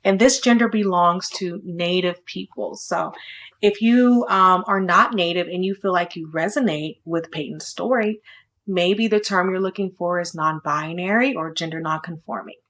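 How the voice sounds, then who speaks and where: A woman's voice: lowish voice, moderate pace, a bit bright. A woman reading a children's story aloud, with friendly, casual asides that explain it. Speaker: storybook reader.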